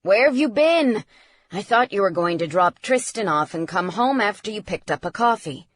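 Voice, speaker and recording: A woman voicing a gruff, worried father in a novel, terse and questioning. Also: lowish voice, animated, normal speed, fairly bright tone. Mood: irritated.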